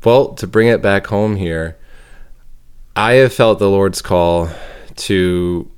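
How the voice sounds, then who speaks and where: Deep, smooth-voiced earnest young man. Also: slow pace, darkish tone. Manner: earnest, reflective, searching for words. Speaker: podcast co-host and storyteller. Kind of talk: casual podcast chat.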